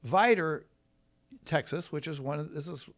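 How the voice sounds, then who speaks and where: An American man from Wisconsin, a trial lawyer telling his story in a casual, rambling, folksy and halting way. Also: a little high. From Wisconsin, United States.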